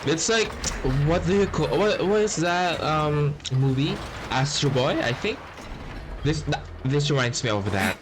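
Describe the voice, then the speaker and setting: A man's voice: fairly high pitch, expressive, unhurried, noisy background. A young man giving casual, off-the-cuff reaction commentary over a game, slangy and profane. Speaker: game streamer.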